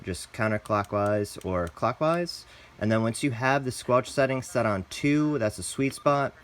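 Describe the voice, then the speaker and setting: A man hosting a step-by-step product tutorial, talking in a casual, plain instructional way. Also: mid-range voice. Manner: casual, plain instructional, addresses viewers as guys. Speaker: host, tutorial presenter.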